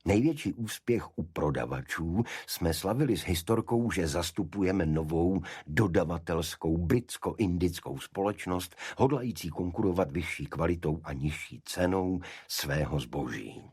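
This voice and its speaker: A man reading a detective story as a scripted audiobook narrator, in a measured literary manner. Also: deep voice, animated, darkish tone, slightly rough, no echo, clean studio sound.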